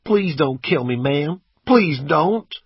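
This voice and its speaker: A man voicing a drunk young man in an audiobook, loud and slangy, swinging between cocky and frightened. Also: medium pitch, animated, measured pace, husky, no echo, highs cut off, pitched above the performer's own voice. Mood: panicked, pleading. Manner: loud, slangy, pleading when scared. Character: drunk young American man at wheel, cocky then terrified. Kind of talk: audiobook character acting.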